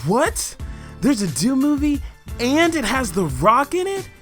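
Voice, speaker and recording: A man's voice: very high voice, wildly swooping pitch, unhurried, a bit bright, faint music behind. A man co-hosting a movie countdown, delivering scripted comic narration in an emphatic, over-the-top style. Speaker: co-host of a movie countdown show.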